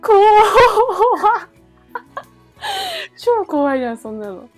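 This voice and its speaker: A woman co-hosting a podcast in casual, slangy chat with animated reactions. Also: very high voice, very slow. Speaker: podcast co-host. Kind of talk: casual chat between friends. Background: background music.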